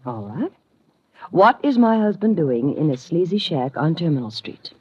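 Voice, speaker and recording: Husky, arch haughty rich wife. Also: female voice, low-pitched, very animated, normal speed, dry recording, heavily acted. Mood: cool, sardonic. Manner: arch, languid, sardonic. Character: cool, haughty, flirtatious wealthy wife in her twenties. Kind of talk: 1950s radio noir acting. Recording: muffled old 1950 radio recording.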